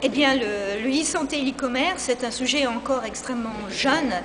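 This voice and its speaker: A woman's voice: high-pitched, moderate pace. A woman with a slight foreign accent, talking energetically about her field in an improvised interview, with frequent restarts. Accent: slight foreign accent in French. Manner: energetic, enthusiastic, with many restarts. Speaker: interviewee, e-health specialist. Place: busy convention hall. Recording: reverberant hall with loud ambient noise.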